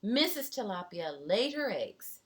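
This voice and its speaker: A woman's voice: mid-range voice, sing-song, measured pace, fairly bright tone. A woman voicing a friendly, encouraging male seahorse from a children's storybook, with courteous singsong greetings.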